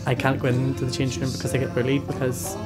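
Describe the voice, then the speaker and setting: Flat-voiced young Belfast man. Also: medium pitch, fast talker. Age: 20s. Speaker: interviewee. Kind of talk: interview. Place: indoor youth centre room. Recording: loud music bed behind the voice.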